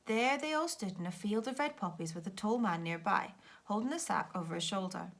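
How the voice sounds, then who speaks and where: A woman teacher reading a children's story aloud with expressive narration. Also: medium pitch, a little fast.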